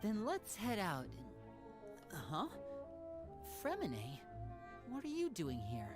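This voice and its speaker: A woman's voice: medium pitch, wildly swooping pitch, slow pace, music in the background. A woman voicing a courteous, confident teenage boy in a video game, composed and helpful like an elder brother. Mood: friendly.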